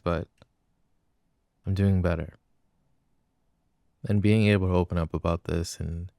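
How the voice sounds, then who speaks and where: A man's voice: deep voice, vocal fry, a little mellow, dry recording, clean studio sound. A young man playing an apologetic, adoring jealous boyfriend in an intimate roleplay, speaking in a casual, hesitant murmur. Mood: contrite, reassured. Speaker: voice actor.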